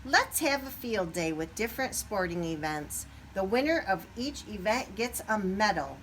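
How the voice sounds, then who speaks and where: A woman's voice: mid-range voice, very animated, moderate pace, fairly bright tone, a little hiss or hum, rough recording. A woman voicing an eager, earnest male turkey in a storybook, given to exclaiming.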